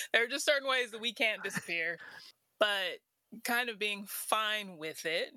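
Bright-toned female host, thoughtful and conversational, dry close-miked delivery. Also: mid-range voice, lively intonation, a little slow, dry recording, low-quality recording. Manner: thoughtful, many fillers like "like". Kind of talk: reflective casual conversation.

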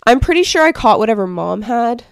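A woman's voice: fairly high pitch, big pitch swings, brisk, vocal fry, clear, resonant tone, no room sound, studio quality. A young woman co-hosting a podcast, congested from a cold, chatting casually and rambling with many fillers. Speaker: podcast co-host. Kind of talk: casual chat.

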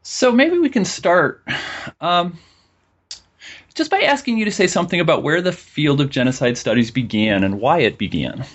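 A man's voice: fairly high pitch, big pitch swings, normal speed, lo-fi sound. A man hosting an interview podcast, reading a formal scripted introduction and asking questions. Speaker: podcast host.

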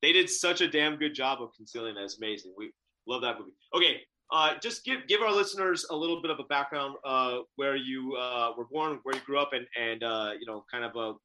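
A man's voice: medium pitch, a little fast, bright, forceful tone, poor audio. A man co-hosting a podcast over a video call, eager and chatty, laughing often and restarting his sentences. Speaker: podcast co-host. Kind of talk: casual interview chat. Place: home office in the Bay Area.

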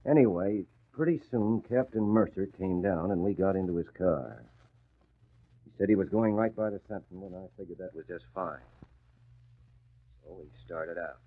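Low, husky wisecracking newspaperman. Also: normal speed. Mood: matter-of-fact storytelling. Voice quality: streetwise, slangy diction. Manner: sardonic, colloquial. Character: wisecracking, grumbling working-class male newspaper employee. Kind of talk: 1940s radio acting. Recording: muffled vintage radio recording.

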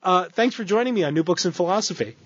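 A man hosts an academic book podcast, introducing his guest in a measured, lecturing way. His pitch moves animatedly and his voice has a slight husk. The sound is dry and a little dull. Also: animated, a bit bright, breathy edge, no echo. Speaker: podcast host. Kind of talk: scripted introduction and interview.

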